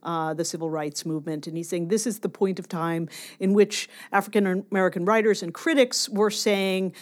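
A woman's voice: fairly low pitch, fairly even intonation, moderate pace. A woman scholar being interviewed, explaining ideas in an academic but conversational and emphatic way.

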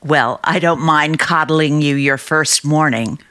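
A woman's voice: sits low, measured pace, sharp tone. An actress playing a doting, trusting middle-aged sister and small-town mother in an old-time radio drama, chatty and earnest.

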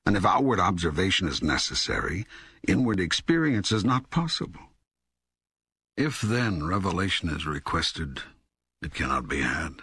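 An actor reading God in an audiobook dialogue as a calm, authoritative teacher, with measured, solemn delivery and deliberate emphasis. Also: male voice, low voice, breathy edge, no room sound, studio quality, full character voice. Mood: solemn, instructive. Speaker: audiobook co-narrator.

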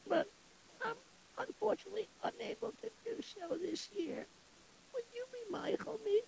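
A woman playing a grieving shoemaker's widow who moans and hesitates tearfully, with a quavering, creaky voice. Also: very high-pitched, normal speed, a little mellow, full character voice, low-quality recording. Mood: mournful.

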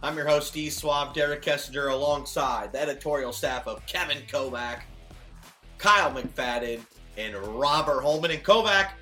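An American man with a raspy voice, hosting a sports podcast and recapping action in a casual, chatty way. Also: medium pitch, moderate pace, sharp tone, faint music behind. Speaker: podcast host.

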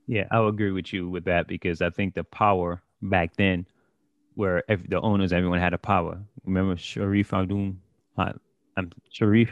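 A man's voice: low voice, little pitch movement, moderate pace, no echo, muffled recording. A man in a casual sports discussion, speaking as a podcast panelist.

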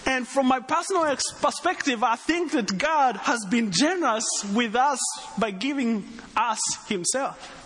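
A man's voice: very high voice, animated, unhurried, fairly bright tone, in a large hall. A man from Rwanda, with his own accent and a slight Texan touch, preaching as a guest pastor, emphatic and full of repeated phrases and call-outs. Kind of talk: sermon.

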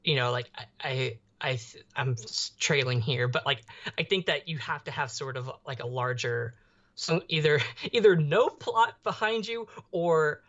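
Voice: medium pitch, expressive, a little slow, a bit bright, dull audio. A young adult podcast co-host chatting casually about pop culture, rambling and often searching for words.

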